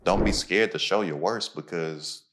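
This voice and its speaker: A man's voice: deep voice, unhurried, fairly bright tone. A man hosting a podcast, talking casually and motivationally in repeated punchy phrases. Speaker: podcast host. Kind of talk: casual conversational podcast talk.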